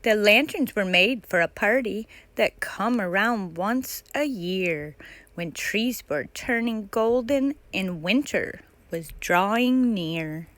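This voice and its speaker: A woman's voice: mid-range voice, animated, slow pace, fairly bright tone, no room sound. A woman narrating a children's picture book aloud as a storytime reader.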